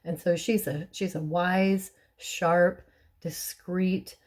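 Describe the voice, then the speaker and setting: A middle-aged woman with a hoarse, raspy voice, clearing her throat often and chatting with soft laughs as she teaches a Bible lesson. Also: fairly low pitch, steady tone, takes it slowly.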